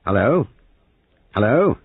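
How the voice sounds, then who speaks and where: A man's voice: very deep voice, expressive, moderate pace, breathy edge, no room sound, full character voice. A man voicing a stiff, irritable military man with a clipped manner in an audiobook. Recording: muffled phone-like audio.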